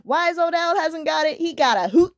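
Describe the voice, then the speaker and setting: A woman's voice: very high-pitched, expressive, measured pace, no echo, studio quality, voice pushed up. A woman voicing a tearful, anxious little kitten from a storybook, with a plaintive, wailing manner.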